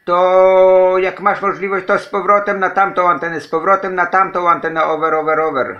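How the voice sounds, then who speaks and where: A man's voice: high-pitched, flat delivery, moderate pace, dull audio. A man from Poland talking casually as an amateur radio operator, repeating his phrases. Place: indoors, radio desk in a flat.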